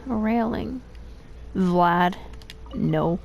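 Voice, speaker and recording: A woman's voice: mid-range voice, very slow, gravelly, a little mellow, faint music behind. A woman doing casual gameplay commentary, making silly, rambling asides as she streams. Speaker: gaming streamer.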